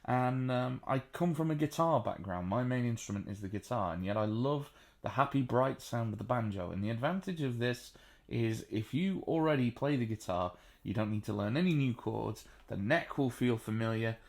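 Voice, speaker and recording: A man with a baritone voice, sitting on a sofa at home and casually explaining how to play the banjo, with plenty of ums and uhs. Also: lively intonation, creaky. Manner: chatty, unhurried, frequent ums and uhs. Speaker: banjo teacher. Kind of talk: relaxed spoken music tutorial.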